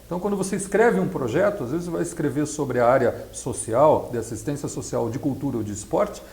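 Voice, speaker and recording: Brazilian guy explaining stuff in an interview, kinda gravelly, teacherly, roomy echo. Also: medium pitch, quick pace, darkish tone. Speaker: accountant and project specialist being interviewed. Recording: echoey, roomy sound.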